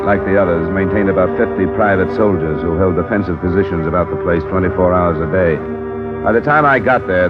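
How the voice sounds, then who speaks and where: A man's voice: sits low, lightly acted. An actor playing a terse male insurance investigator who narrates his own case in a 1950s radio drama. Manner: terse, matter-of-fact, probing. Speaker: lead detective and narrator. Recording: lo-fi old radio broadcast with music.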